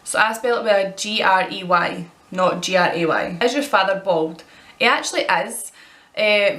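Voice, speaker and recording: A Scottish woman of twenty-two, chatting casually as she answers tag questions and rambles with quick self-corrections. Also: medium pitch, fairly bright tone, echoey room. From Scotland. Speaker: vlogger.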